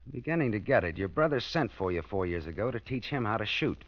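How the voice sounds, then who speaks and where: Tough detective narrator, deep dry delivery, lo-fi old radio recording. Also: male voice, lively intonation, quick pace, dry recording. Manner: dry, wry, low-key. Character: wisecracking male private detective, dry and tough. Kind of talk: 1940s radio acting and narration.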